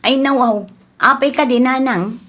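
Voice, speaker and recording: A woman's voice: sits high, unhurried, fairly bright tone. A woman playing a stingy, complaining daughter-in-law in an Ilocano comedy drama, with exaggerated exclamations and an Ilocano accent. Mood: indignant.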